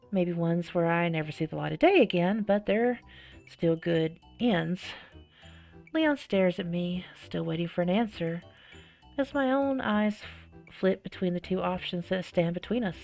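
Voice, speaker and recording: Woman reading as anxious visual novel protagonist, unhurried. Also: on the low side, gravelly, a little mellow. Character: visual novel protagonist narrating, anxious, inward, flustered high schooler. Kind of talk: dramatic first-person story narration.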